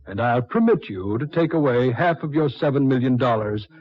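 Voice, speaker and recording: An actor in a 1940s radio drama playing a scheming, murderous doctor who is cold but easily rattled. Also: male voice, mid-range voice, sing-song, normal speed. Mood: coldly bargaining. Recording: dull, muffled 1940s radio recording.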